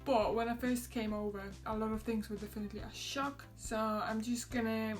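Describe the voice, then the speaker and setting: A young woman from Poland with a Polish accent, chatting to camera in a relaxed vlog and laughing often. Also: moderate pace, soft background music. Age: young adult. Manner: chatty, laughs often, quotes others in a higher voice. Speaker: vlogger. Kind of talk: casual chat to camera.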